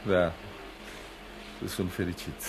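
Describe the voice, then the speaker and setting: Radio drama lead: courteous, earnest young striver, low voice, faint noise on the recording. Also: male voice, flat delivery. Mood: pleased, attentive. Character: ambitious young working-class man, polite and earnest. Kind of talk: Romanian radio theatre acting.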